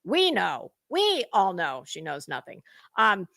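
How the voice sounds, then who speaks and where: A woman hosting casual, opinionated gossip commentary, rambling and halting, with emphatic points and some mild swearing. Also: medium pitch, wildly swooping pitch, creaky, bright, forceful tone, no room sound, clean studio sound. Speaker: host of a gossip commentary livestream.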